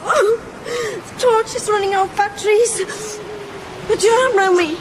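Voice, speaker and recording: A woman's voice: very high-pitched, a little slow, background noise. An actress playing an affectionate, easily frightened young woman in a naturalistic radio drama. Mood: panicked.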